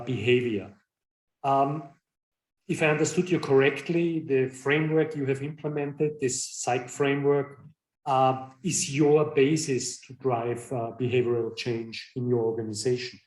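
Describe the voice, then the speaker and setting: A middle-aged man hosting a podcast interview, speaking in a measured, semi-scripted way with hesitant pauses. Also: mid-range voice, fairly even intonation. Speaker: podcast host, consultant. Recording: roomy, echoey sound.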